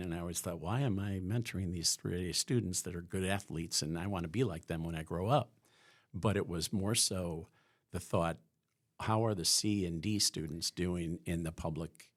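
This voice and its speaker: A man from the Chicago area, a podcast guest from a school's staff, talking in a rambling way with many restarts. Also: deep voice. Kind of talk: conversational podcast interview.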